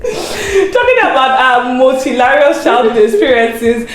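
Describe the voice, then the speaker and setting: A woman's voice: sits high, unhurried, faint music behind. Laughing woman in an echoey room. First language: Igbo. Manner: laughs through the telling, animated. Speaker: YouTube host. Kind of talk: casual storytelling chat.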